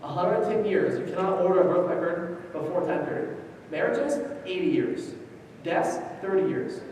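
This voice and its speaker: A man's voice: high voice, moderate pace, darkish tone. A man presenting an informal instructional lecture at a conference, speaking conversationally in short, choppy phrases. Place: large echoey indoor room, live talk. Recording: echoey hall, distant mic, faint noise.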